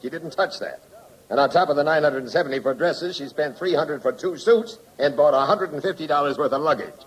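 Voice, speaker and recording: An actor playing a sarcastic, hard-nosed American police captain with a gruff, emphatic manner, in 1940s radio drama style. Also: male voice, on the high side, a little fast, lightly acted, low-quality recording. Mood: businesslike.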